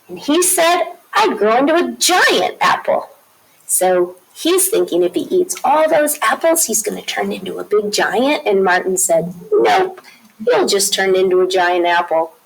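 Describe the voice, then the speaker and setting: A woman reading a picture book aloud as a teacher would, with drawn-out emphasis and explanatory asides to young listeners. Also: mid-range voice, vocal fry, rough recording.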